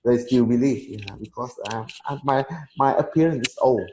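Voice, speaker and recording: A man in his thirties, a Vietnamese native speaker, chatting casually in halting English and laughing often. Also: mid-range voice, a little mellow. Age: 30s. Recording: echoey room, faint noise.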